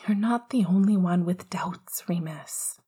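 A woman voicing an emotional young man who speaks tenderly through held-back tears in a soft whisper. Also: fairly low pitch, a little slow, breathy edge. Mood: tender, choked up.

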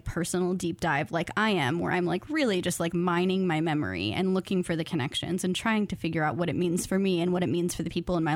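A woman's voice: fairly low pitch, no room sound. A woman in her thirties talking casually and reflectively as an interview guest, earnest, enthusiastic and informal. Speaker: podcast guest, creative nonfiction graduate student and writer.

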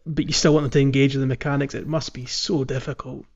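Male podcast co-host, mid-range voice, casual and halting game talk, somewhat muffled audio. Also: mid-range voice, level tone, vocal fry. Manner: halting, thinking aloud. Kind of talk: casual video game discussion.

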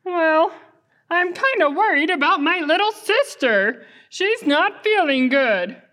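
A woman voicing an earnest young boy puppet in a children's church show, halting and innocent. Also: very high-pitched, lively intonation, fairly bright tone, full character voice. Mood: worried, hesitant. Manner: halting, pompous when praying, otherwise plain childlike talk. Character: earnest young boy puppet, worried about his sister.

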